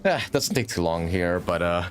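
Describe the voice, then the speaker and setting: A man doing casual, informal live gameplay commentary, thinking out loud as a streamer. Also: low voice, animated, normal speed. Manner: informal, swearing, thinking aloud. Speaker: game livestreamer. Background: video game music and sound.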